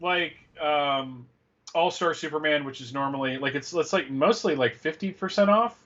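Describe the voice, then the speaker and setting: A man's voice: a little high, normal speed, fairly bright tone. A man co-hosting a fan show, chatting casually about news on dull, lo-fi audio. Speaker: co-host.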